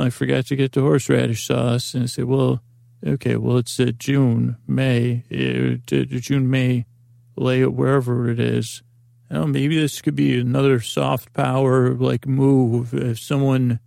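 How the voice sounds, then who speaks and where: Gravelly man rambling softly at bedtime. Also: medium pitch, no echo, studio quality. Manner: soft, meandering, self-deprecating, with frequent fillers. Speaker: podcast host.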